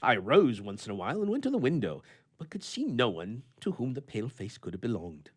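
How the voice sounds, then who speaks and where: A man playing a grumpy, flustered bachelor with a soft heart, telling his tale with theatrical bluster and stammering in old-fashioned storybook prose. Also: mid-range voice, huge pitch swings, a little fast, breathy edge. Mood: melancholy, brooding.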